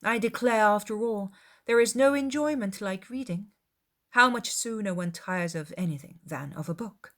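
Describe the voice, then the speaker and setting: Woman putting on an affected, haughty society lady, pitched high. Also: normal speed, close to an everyday voice. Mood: affectedly bored. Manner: affected, persuasive, formal phrasing. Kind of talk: dramatized classic novel reading.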